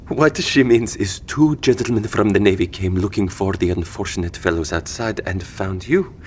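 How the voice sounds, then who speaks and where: An actor playing a kindly, flustered civilian man in an audio drama, with a hesitant, gentle, wordy manner. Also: sits low, moderate pace, a little hiss or hum, natural voice. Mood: gentle, explaining. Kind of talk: scripted audio drama acting.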